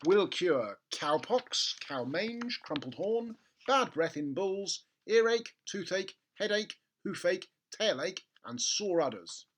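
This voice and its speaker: A storybook label announcer, acted out by a man, pitched high and declaiming each item slowly. The delivery is formal, pompous and emphatic. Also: very animated, full character voice. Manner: slow, deliberate, declaiming.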